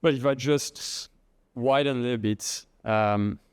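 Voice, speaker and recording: A middle-aged man from France with a French accent, a guest in a podcast interview, speaking haltingly with many restarts. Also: medium pitch, clear, resonant tone, no room sound, studio quality. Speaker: guest, head of treasury and trading.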